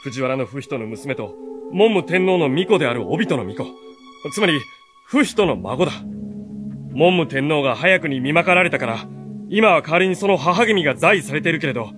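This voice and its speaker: Guy doing sharp earnest teen boy hero for radio drama, lightish high voice, animated. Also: normal speed, over music, natural voice. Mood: earnest, reasoning. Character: earnest, sharp-witted teenage boy, the story's narrating hero. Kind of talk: radio drama acting with first-person narration.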